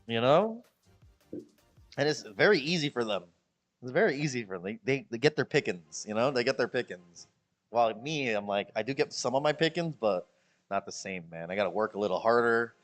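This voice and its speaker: A man's voice: lively intonation, moderate pace, faint music behind. A man from Chicago with a Midwestern American accent, chatting casually and jokily to his stream viewers, rambling and repeating himself. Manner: rambling, jokey, repeats himself, mimics people in high voices. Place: the Philippines.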